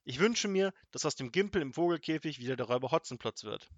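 A man's voice: on the high side, lively intonation, fast, dry recording, dull audio. A man voicing a cheerful, confident boy hero in a children's storybook. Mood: calming, confident.

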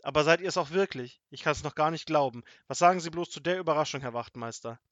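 A man's voice: fairly high pitch, fast, fairly bright tone, no room sound, dull audio, pitched above the performer's own voice, natural voice. Anxious, tender old granny for a children's audiobook, played by a man. Emotional, lifted voice, dry sound. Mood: overjoyed, overwhelmed. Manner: emotional, fretful.

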